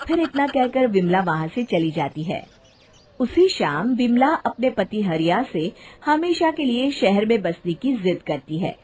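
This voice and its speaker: A woman narrating an animated moral story as a scripted storyteller. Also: mid-range voice, measured pace, husky.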